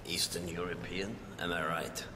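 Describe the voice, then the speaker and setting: An actor playing a frail, haughty older retired spymaster in a game cutscene, gravelly and breathy, condescending and probing. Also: male voice, deep voice, level tone, normal speed, background noise, lightly acted. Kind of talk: video game cutscene acting.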